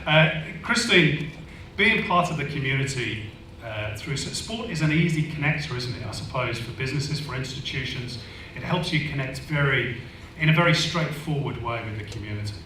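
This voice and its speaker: A man's voice: medium pitch, expressive, normal speed, hardly any pauses, bright, forceful tone, a little hiss or hum. A man from Manchester moderating a live panel in front of an audience, with jokey, teasing banter. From Manchester, England. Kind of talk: hosting a live panel discussion. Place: function room with an audience. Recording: echoey hall, distant mic.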